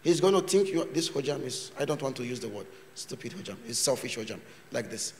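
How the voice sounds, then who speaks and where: A man's voice: medium pitch, lively intonation, brisk, a little hiss or hum. A man giving a chatty conference lecture in loose English, mixing in Turkish words and acting out little scenes. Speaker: invited lecturer. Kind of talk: conference talk with Q&A. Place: university conference hall. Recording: reverberant lecture hall.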